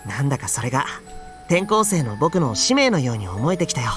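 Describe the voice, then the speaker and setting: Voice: mid-range voice, very animated, rapid-fire, a bit bright, soft background music. A performer playing an earnest, stubborn teenage boy transfer student in a Japanese visual novel. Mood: resolved.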